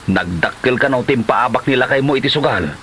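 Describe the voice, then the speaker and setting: A man's voice: mid-range voice, fairly quick, pushed and bright, a little hiss or hum, natural voice. A man playing a meddling, gossipy neighbour in a comedy drama, tattling and placating in an Ilocano Filipino accent. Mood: gossiping.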